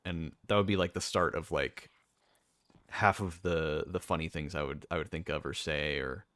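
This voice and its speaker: A young man with a deep voice, talking casually and rambling with lots of fillers and restarts as a streamer. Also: fairly even intonation, moderate pace, a bit bright, dry recording. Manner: rambling, self-correcting, many fillers.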